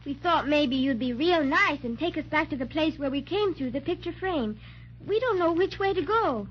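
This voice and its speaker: A woman's voice: sits high, lively intonation, normal speed. A woman voicing a sweet, earnest, anxious little girl in a 1930s children's radio serial. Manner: earnest, plaintive. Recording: dull, hissy 1930s radio recording.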